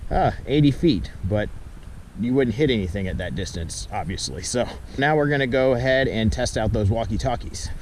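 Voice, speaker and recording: A man's voice: fairly low pitch, expressive, normal speed, darkish tone. A man giving a casual, matter-of-fact product review and test outdoors, talking as the reviewer. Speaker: toy blaster reviewer. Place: outdoors in a yard by a pond. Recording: faint outdoor background noise.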